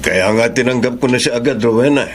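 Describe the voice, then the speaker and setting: An actor playing an attentive, smooth-talking husband in a radio drama, with a Filipino accent. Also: male voice, mid-range voice, fairly quick, lightly acted. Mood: affable, domestic.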